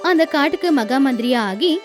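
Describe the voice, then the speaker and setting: High-voiced woman telling a fable. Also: lively intonation, normal speed, over music. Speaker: narrator. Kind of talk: animated children's fable storytelling.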